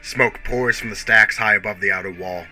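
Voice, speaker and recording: A man narrates a radio play in a lowish, very bright and tense voice. His delivery is grave and theatrical, leaning hard on key words, with music running beneath him. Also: normal speed. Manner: theatrical, grave, emphatic storytelling. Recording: music bed under the voice.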